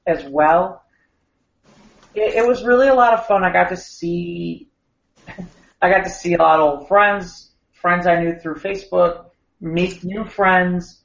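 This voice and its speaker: A man co-hosting a podcast, a bit hoarse after a long weekend of talking, rambling and restarting his sentences. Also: on the high side. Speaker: podcast co-host. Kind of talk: casual podcast chat. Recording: echoey room, dull lo-fi sound.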